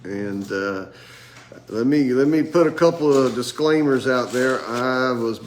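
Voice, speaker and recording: A man's voice: medium pitch, slight background noise. Nasal, congested man teaching Bible study. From United States. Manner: rambling, halting, with many restarts. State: congested from sinus infection. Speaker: pastor leading Bible study. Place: room with a group at a table.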